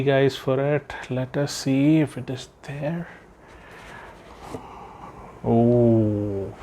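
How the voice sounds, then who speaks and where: Slow, chatty man unboxing gear. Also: mid-range voice, a little mellow, slight background noise. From India. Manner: chatty, thinks aloud, many fillers. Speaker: unboxing vlogger. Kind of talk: casual unboxing commentary. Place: indoors, room with patterned floor rug.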